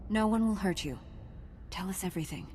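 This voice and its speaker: An actress playing a calm, firm female knight in a video game, composed and resolute. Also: medium pitch, brisk, slight background noise, natural voice. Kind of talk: video game cutscene acting. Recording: long hall reverb, faint music.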